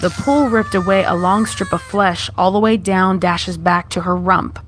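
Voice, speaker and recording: A woman's voice: fairly low pitch, moderate pace, noisy background. A woman reading out a horror story as scripted narration. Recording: music playing behind the voice.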